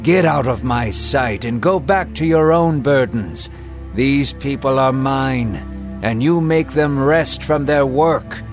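A man voicing a haughty, scornful male king in a dramatized Bible story, speaking coldly and dismissively over clear background music. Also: mid-range voice, lively intonation, slow pace. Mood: irritated. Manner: cold, dismissive.

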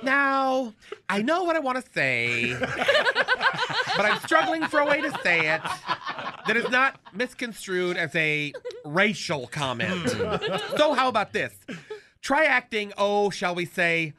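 A man's voice: high voice, huge pitch swings, slow pace, very noisy. A man hosting a radio comedy show, delivering a teasing, sarcastic roast. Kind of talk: comic roast monologue. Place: radio studio with laughing cohosts.

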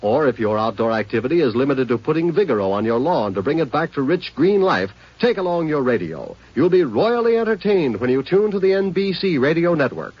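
A muffled vintage radio broadcast of a male announcer. His voice sits fairly high and slightly husky, moving in lively, polished swoops through a formal scripted promotion. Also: brisk, few pauses.

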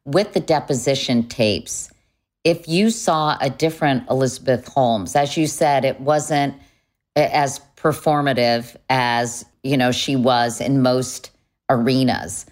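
Woman, very deep voice, interviewer, conversational questioning. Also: unhurried. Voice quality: low, deep female voice.

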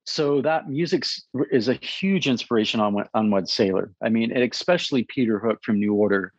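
A man's voice: mid-range voice, no room sound. Earnest, thoughtful man in his fifties. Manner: earnest, thoughtful, halting with restarts. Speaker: musician. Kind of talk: casual interview answers. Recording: slightly dull recording.

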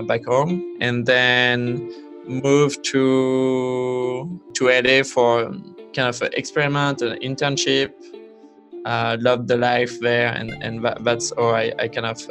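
French founder, man, monotone, stumbling speech, music bed. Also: medium pitch. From France. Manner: hesitant, many restarts and fillers. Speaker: startup founder guest. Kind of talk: casual interview answers.

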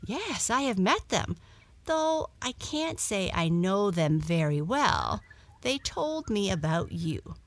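A woman's voice: medium pitch, sing-song, slow pace, no room sound, voice pushed up. Woman voicing a timid, lilting little lost cat. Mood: polite. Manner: polite, hesitant, pleading. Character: lost, timid, tired young female runaway cat. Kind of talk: children's storybook character voice.

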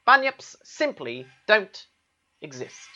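A man's voice: sits high, very animated, slow pace, pushed and bright, lo-fi sound, pitched above the performer's own voice, heavily acted. A man voicing a busy, curt, dismissive man in a storybook.

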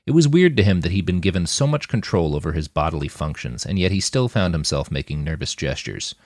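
A man's voice: sits low, lively intonation, fairly quick, darkish tone, no room sound, studio quality. A man reading scripted fiction as an audiobook narrator.

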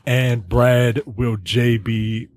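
A man co-hosting a casual podcast, relaxed, reading aloud with small stumbles. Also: medium pitch, drawn-out words. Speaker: podcast co-host.

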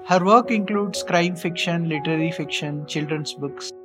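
Podcast host, reading an intro, careful delivery, music clearly in the background. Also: medium pitch, moderate pace. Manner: reads carefully, with hesitant fillers. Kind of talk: read-out introduction and interview questions. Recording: music playing behind the voice.

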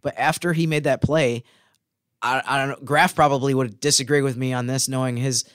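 Male sports cohost, chatty and explanatory, average pitch, dry studio-like sound. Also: fairly even intonation, a little fast, fairly bright tone, no echo. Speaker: sports podcast co-host. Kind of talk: casual sports analysis.

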